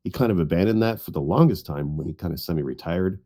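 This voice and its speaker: Low, mellow man chatting casually. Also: expressive, fast. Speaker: podcast panelist. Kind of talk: casual chat.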